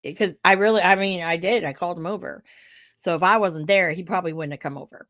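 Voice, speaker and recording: A woman with a loud voice telling a casual story, rambling with lots of "like" and acted-out dialogue. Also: lowish voice, quick pace, no echo, clean studio sound. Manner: rambling, full of "like" and quoted dialogue. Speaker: podcast co-host.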